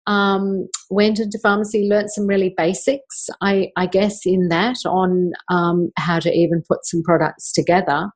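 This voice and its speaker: A woman's voice: fairly low pitch, level tone, a little slow, few pauses. A middle-aged woman speaks. She is a guest in an interview, recounting her own life and work in a reflective, storytelling way. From New Zealand. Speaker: guest, skincare product maker.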